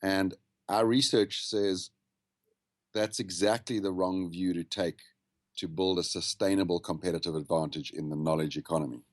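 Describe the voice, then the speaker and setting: A man with a South African accent, a guest explaining his work in measured, fluent sentences over a call. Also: low voice, steady tone, no room sound, clean studio sound. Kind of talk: podcast interview over a call. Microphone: video call.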